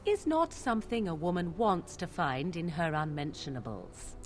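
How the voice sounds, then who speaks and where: A woman's voice: on the low side, expressive, moderate pace, natural voice. Woman voicing a scornful witch. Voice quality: cutting, disdainful. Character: haughty, scornful female witch. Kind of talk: video game voice acting. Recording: game audio with faint noise.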